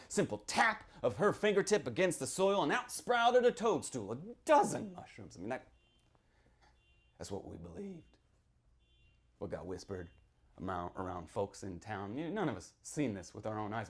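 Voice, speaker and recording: A man performing a sly small-town local who tells a witch legend, theatrical and confiding, moving between hushed and declaimed delivery. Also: medium pitch. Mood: fervent, ominous. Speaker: author reading from own novel. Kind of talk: dramatic live reading of a horror story.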